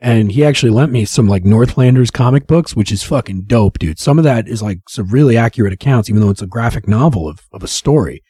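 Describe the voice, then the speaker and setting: A man's voice: mid-range voice, expressive, warm tone, breathy edge, dry recording, clean studio sound. A man co-hosting a casual podcast, rambling and cursing, losing his voice to a cold. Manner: rambling, profane, restarts and drawn-out phrases. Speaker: podcast co-host.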